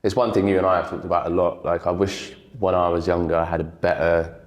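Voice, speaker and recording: Deep-voiced man, casual and roomy. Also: fast. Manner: relaxed, swears casually, laughs often. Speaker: guest, creative director. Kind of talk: casual interview chat. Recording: echoey room.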